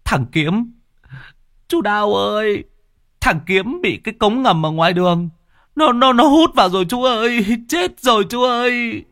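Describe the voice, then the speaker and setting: A man voicing a panicking teenage village boy who screams for help in a shrill, frantic voice. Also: expressive, measured pace, a bit bright, dry recording, studio quality, heavily acted.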